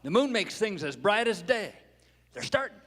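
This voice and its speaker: A man playing an excitable, plain-spoken ranch hand with an in a live radio play. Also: high-pitched, moderate pace, full character voice. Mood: excited. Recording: echoey hall with orchestral music.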